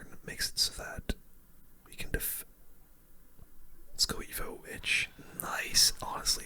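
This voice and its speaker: A person giving ASMR gameplay commentary in a breathy whisper, using casual gamer slang. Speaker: gaming video host.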